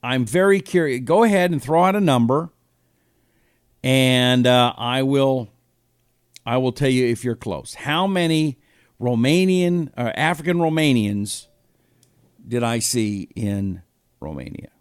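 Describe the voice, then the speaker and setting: A man's voice: medium pitch, animated, unhurried, pauses often, smooth, no room sound. American man in his fifties, dry close sound. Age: 50s. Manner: rambling, conversational, rhetorical questions. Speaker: host of a political talk show. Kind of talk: casual live commentary, reading listener comments.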